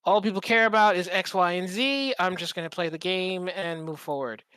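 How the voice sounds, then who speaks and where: A man hosting a podcast in casual conversation, speaking informally and a little haltingly. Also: high voice, bright, forceful tone, clean tone, dry recording. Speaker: podcast host.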